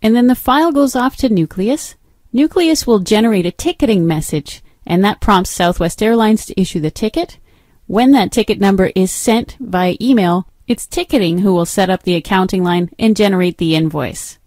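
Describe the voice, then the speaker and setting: A woman with a mid-pitched voice narrates a software training demo. She explains each step in an instructive manner. The sound is close and dry, with very little room on it. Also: normal speed, no room sound.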